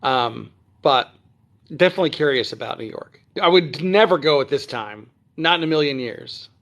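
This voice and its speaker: Chatty man, slightly high voice. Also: lively intonation, moderate pace, a bit bright. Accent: none, trained standard American. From Texas. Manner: chatty, rambling, frequent ums and throat clearing. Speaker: livestream host. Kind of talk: casual livestream chat answering viewer comments. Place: at home near Dallas. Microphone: phone livestream.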